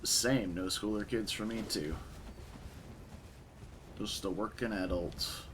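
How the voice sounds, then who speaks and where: A young man with a raspy voice from a concert the night before, casually commentating a game stream and chatting with viewers. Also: on the low side, slow, a little hiss or hum. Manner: relaxed, chatty, answers chat.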